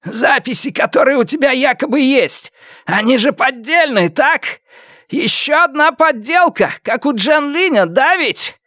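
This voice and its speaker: A man voicing a frantic, wounded villain in an audiobook, hoarse and breathless, with a taunting, raised voice. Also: very high voice, animated, measured pace, dry recording, clean studio sound, voice pushed up, full character voice. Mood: accusing. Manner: shouting and taunting. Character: frantic wounded male villain, gloating and enraged.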